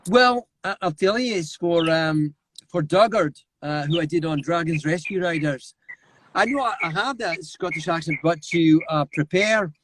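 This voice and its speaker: A middle-aged man, a voice actor, telling lively anecdotes over a remote call and slipping into character voices. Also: a little high, normal speed, a little hiss or hum, poor audio. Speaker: voice actor guest. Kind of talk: animated anecdotal storytelling.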